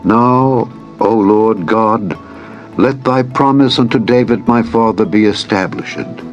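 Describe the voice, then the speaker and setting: A man voicing a devout, humble king in a scripture audiobook, speaking formally and earnestly. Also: lowish voice. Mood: humble, pleading. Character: King Solomon, devout and humble male ruler. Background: background music.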